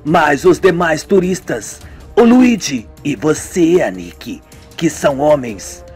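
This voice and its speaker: A man voicing a cold female cult villager who states threats calmly and matter-of-factly. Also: low voice, slow, husky, faint music behind, voice pushed down, exaggerated voice. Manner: calm, matter-of-fact menace.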